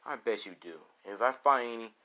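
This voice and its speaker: A man's voice: medium pitch, fast talker, bright, forceful tone, lightly acted. A man voicing an exasperated, distrustful young boyfriend in a fan story, blunt, incredulous and profane. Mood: cold, fed up.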